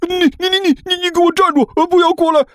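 A man voicing an exasperated, hot-tempered young male student in an audiobook, stammering and whining in shrill outbursts. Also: fairly even intonation, fast, no echo, studio quality. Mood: panicked, indignant. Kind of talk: audiobook character acting.

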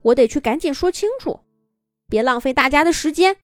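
A woman's voice: high voice, expressive, dry recording, clean studio sound, faint music behind, pitched above the performer's own voice. A woman voicing a bossy young boy cat who leads the dance team in a children's story, talking in a fussy, doubtful way. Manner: fussy, doubtful, quick to fret.

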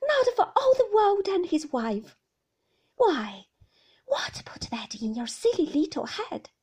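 A woman's voice: very high-pitched, very animated, slow, darkish tone, pitched above the performer's own voice, exaggerated voice. A woman voicing a devoted, scolding maidservant in a storybook, with emphatic, homely exclamations. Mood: fiercely devoted. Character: devoted, scolding older maidservant, blustering and tender.

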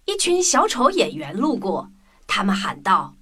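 A woman's voice: mid-range voice, huge pitch swings, a little slow, a bit bright, husky. A woman narrating a children's story in a scripted storytelling style. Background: music.

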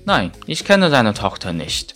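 A male native Chinese speaker gives a grammar lesson with highly varied intonation. He is didactic yet conversational, slipping in casual asides and stating examples carefully. Soft music sits faintly behind him. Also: wildly swooping pitch, measured pace. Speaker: German grammar teacher.

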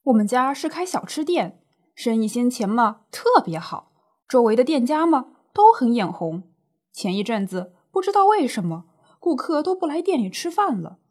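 Actress as older neighbourhood auntie running a snack shop: high voice, big swings, plaintive and indignant, radio drama. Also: female voice, sing-song, moderate pace, darkish tone, breathy edge. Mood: flustered, upset. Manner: plaintive, exclaiming, colloquial grumbling.

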